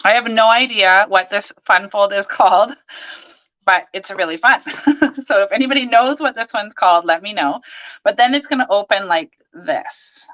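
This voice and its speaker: Hoarse, bright-voiced woman chatting. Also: mid-range voice, moderate pace, lo-fi sound. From Canada. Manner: chatty, greets viewers by name, talks while working. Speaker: stamping demonstrator hosting a live stream. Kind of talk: live crafting demonstration.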